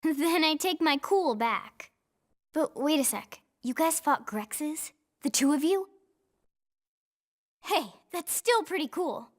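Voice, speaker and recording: High-pitched, plucky young soldier. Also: female voice, takes it slowly, long pauses, no echo, clean studio sound. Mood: upbeat, impressed. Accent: American. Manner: breezy, slangy, expressive. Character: eager, upbeat young female soldier, casual and plucky. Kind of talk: video game cutscene acting.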